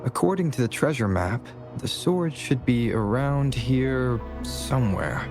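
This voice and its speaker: An actor playing a calm, capable young adventurer companion in a video game. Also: male voice, mid-range voice, measured pace, music in the background, close to an everyday voice. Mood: matter-of-fact. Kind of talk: video game character acting.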